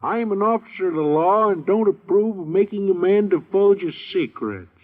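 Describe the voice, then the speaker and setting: A man's voice: high-pitched, animated, normal speed. An actor playing a pompous, dopey cartoonish man in an old radio comedy commercial. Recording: tinny old radio recording.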